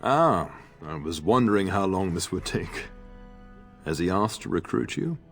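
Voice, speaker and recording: A man's voice: sits low, sing-song, a little slow, gravelly, faint music behind, exaggerated voice. A middle-aged man playing a genial, dignified lord and proud father in a video game. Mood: earnest, fatherly.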